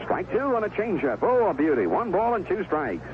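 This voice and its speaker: An American man calling baseball play-by-play on the radio, in clipped phrases with unhurried pauses. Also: sits high, big pitch swings. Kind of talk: live baseball radio commentary. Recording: tinny, noisy 1970s AM radio broadcast.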